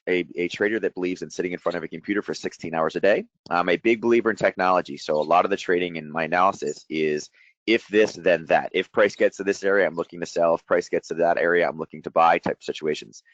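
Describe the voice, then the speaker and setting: A middle-aged man with a deep, slightly strained voice, hosting an informal, chatty and explanatory trading webinar. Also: dry recording, highs cut off. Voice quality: voice deeper than usual from shouting. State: strained voice after a day of loud coaching. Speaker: trading educator hosting a market breakdown.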